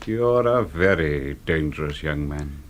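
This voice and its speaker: An actor in a radio drama playing an older father, strong and sinister, who speaks with deliberate, formal courtesy. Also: rumbling low, expressive. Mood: silkily appraising. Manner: deliberate, formal, silky politeness.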